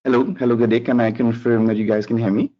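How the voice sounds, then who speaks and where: A man with a Trinidadian accent speaks at middling-to-low everyday pitch as an audience member at a webinar. He is courteous and fairly formal. His audio is a little dull, as on an internet call. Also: flat delivery, quick pace. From Trinidad and Tobago. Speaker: audience member asking questions. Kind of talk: spoken question at a webinar. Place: online call.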